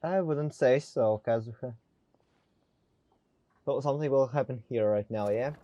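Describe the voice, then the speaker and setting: A man's voice: medium pitch, unhurried, mellow, no echo, muffled recording. A man giving casual, off-the-cuff commentary as he plays through a video game. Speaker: gaming video creator. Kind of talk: casual let's play commentary. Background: game music.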